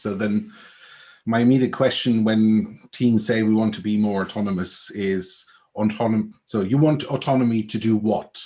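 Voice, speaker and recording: A man's voice: fairly low pitch, a little mellow. A man from Switzerland with a Swiss accent, speaking as an agile coach on an online panel, explaining ideas thoughtfully. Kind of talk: panel discussion. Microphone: video call.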